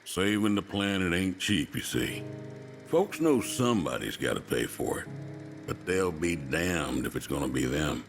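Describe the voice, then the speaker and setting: Middle-aged game cowboy, Southern American drawl, deep, unhurried, plainspoken, musical backing. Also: male voice, sing-song, soft background music, exaggerated voice. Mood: grave, storytelling. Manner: folksy drawl, measured. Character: folksy, plainspoken older male cowboy racer. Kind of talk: dramatic video game dialogue.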